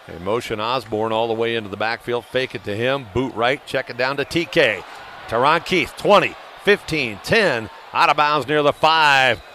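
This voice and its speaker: A man giving live radio play-by-play of a football game, excitable and quick to raise his voice for big plays. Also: medium pitch. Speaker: play-by-play announcer. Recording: noisy live stadium broadcast ambience.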